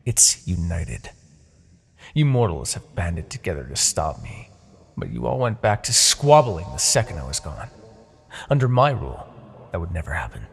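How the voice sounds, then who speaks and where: A low, husky demon king, acted by a man, proud and vengeful, holds forth in a fantasy audio roleplay. His gravelly delivery is grandiose and deliberate, swinging widely in pitch between coaxing and menace. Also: sing-song, faint music behind, exaggerated voice. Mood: imperious, persuasive. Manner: theatrical, deliberate, imperious, with dramatic pauses. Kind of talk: fantasy ASMR roleplay monologue.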